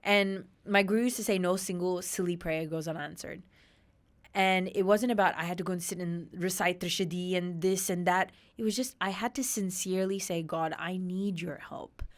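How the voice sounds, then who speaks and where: A woman in her thirties from Australia, a podcast guest telling her personal story candidly, with emphatic, animated retellings. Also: fairly low pitch, moderate pace. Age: 30s.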